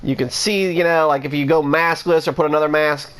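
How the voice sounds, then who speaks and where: A man's voice: fairly high pitch, fairly even intonation, fairly quick, a bit bright, clean tone. A man talking casually into the camera, rambling with lots of restarts and fillers as he lists what he wants to see in a game. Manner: rambling, many restarts and "you know" fillers. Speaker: gaming vlogger. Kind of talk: casual rambling opinion talk.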